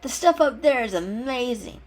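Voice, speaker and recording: A woman's voice: fairly high pitch, expressive, moderate pace, voice pushed up. A woman voicing a bold, mischievous young male kitten in a storybook reading, eager and playful. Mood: eager, scheming. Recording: music under the voice.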